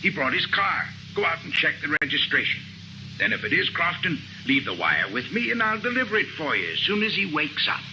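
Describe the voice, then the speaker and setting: A pushy hotel clerk. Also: male voice, fairly high pitch, very animated, brisk, dull audio. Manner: pushy, evasive. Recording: noisy vintage radio recording.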